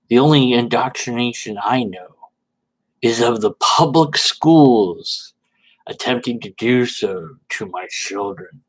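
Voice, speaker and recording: A man's voice: mid-range voice, husky, full character voice, rough recording. A man voicing a pompous, self-righteous religious internet debater in a melodramatic, condescending mock reading. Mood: smug, righteous.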